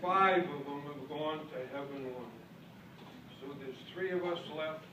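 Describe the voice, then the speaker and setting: An older man with a Maine accent tells a story to a convention crowd in a folksy, self-deprecating way. His voice sits a little high. He is heard from a distance in an echoey hall, with loud noise underneath. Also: unhurried, lo-fi sound. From Boston. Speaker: speaker at a club convention. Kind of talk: after-dinner speech, storytelling. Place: large hall with an audience.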